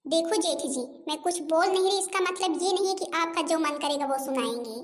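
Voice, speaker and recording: A woman plays a very high-voiced young bride in a family cartoon. She is cheeky and defiant, firing off threats at great speed. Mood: defiant, threatening. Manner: cheeky, threatening, rapid-fire. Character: sharp-tongued, defiant young new daughter-in-law. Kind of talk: amateur cartoon comedy acting.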